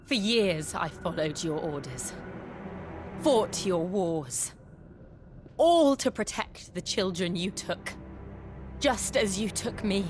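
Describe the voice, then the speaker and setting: An actress playing a wronged, resolute female warrior in a video game, solemn, measured and defiant. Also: mid-range voice, expressive, music in the background. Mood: bitter, restrained. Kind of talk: dramatic video game acting.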